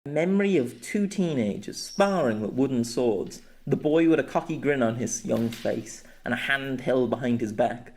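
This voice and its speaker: A man's voice: medium pitch, expressive, moderate pace, hardly any pauses, darkish tone. A man narrating a scripted story as an amateur audiobook reader.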